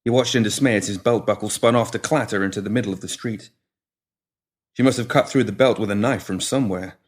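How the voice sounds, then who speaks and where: A man's voice: fairly low pitch, fast talker. A man reading a scripted story as an audiobook narrator, in descriptive prose. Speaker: story reader.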